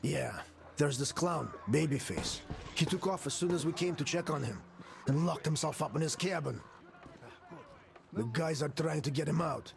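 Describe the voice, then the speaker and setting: Blunt, gravelly game crewman. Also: fairly high pitch, normal speed. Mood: matter-of-fact. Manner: blunt, sarcastic. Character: blunt, defiant male post-apocalyptic crewman, gruff and fed up. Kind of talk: video game cutscene acting.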